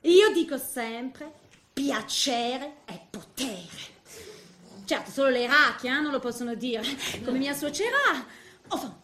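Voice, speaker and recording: A woman's voice: high-pitched, lively intonation, slow pace, fairly bright tone, slight background noise, heavily acted. A woman playing a vain, coquettish middle-aged lady in a comic stage monologue, affected and theatrical, with French interjections. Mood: haughty. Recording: roomy, echoing stage sound.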